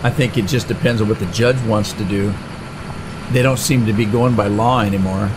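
A man's voice: on the low side, steady tone, moderate pace. A middle-aged man hosting a farming game let's-play, talking in a chatty, rambling way with frequent fillers and restarts. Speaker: gaming channel host. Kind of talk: casual let's-play commentary. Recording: game engine noise clearly behind the voice. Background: tractor and engine sounds from the game.

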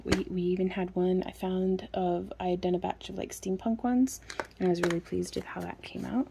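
Woman, lowish gravelly voice, rambling show-and-tell shop chat, noisy home recording. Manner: chatty, rambling, laughs often. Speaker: craft shop owner. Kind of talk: casual product show-and-tell. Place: home craft workspace, indoors.

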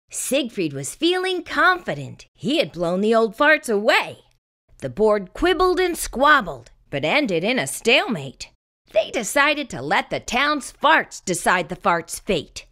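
A woman reading a children's picture book aloud in expressive, scripted narration. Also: on the high side, a little slow.

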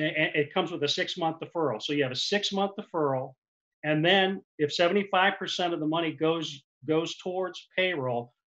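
A man's voice: on the high side, fairly bright tone, muffled recording. A man in his forties giving a webinar talk as a lender, explaining at length with frequent restarts, speaking through a headset mic on a video call. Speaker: commercial lender. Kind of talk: webinar presentation. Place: office with printed backdrop. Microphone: headset boom mic on a video call.

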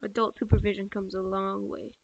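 Male co-commentator with a girly-sounding, flat voice; offhand, chatty; dull lo-fi recording. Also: sits high, brisk, gravelly, dry recording. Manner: chatty, offhand asides to viewers. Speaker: co-host of a gameplay video. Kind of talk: casual gameplay commentary.

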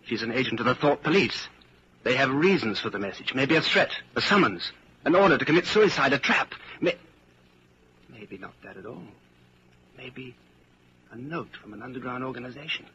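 A suspicious, fearful man in a vintage radio drama. His voice is bright and tense with wide swings of intonation, on a scratchy, dull old recording. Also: mid-range voice, animated, normal speed, pauses often. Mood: anxious, brooding. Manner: tense, brooding, urgent. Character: anxious, suspicious male office worker under a regime. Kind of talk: 1940s radio acting. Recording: scratchy, muffled 1940s radio broadcast.

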